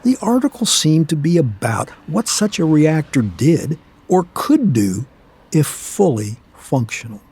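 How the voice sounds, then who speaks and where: A man narrating a scripted radio play in a measured storytelling manner. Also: fairly high pitch, sing-song, a little mellow, slightly rough.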